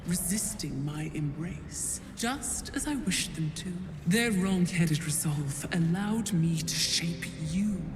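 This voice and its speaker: An imperious, seductively cruel dark goddess from a fantasy video game. She speaks slowly and grandly in a cavernous reverb, with music behind her. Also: lowish voice. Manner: grand, measured, breaking into whispers. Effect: heavy godlike reverb. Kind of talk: dramatic fantasy game acting.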